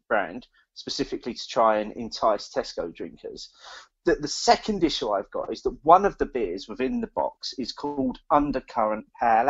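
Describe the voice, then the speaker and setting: A man's voice: mid-range voice, muffled recording. A British man co-hosting a casual podcast chat, speaking in an opinionated, emphatic way. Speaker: podcast co-host.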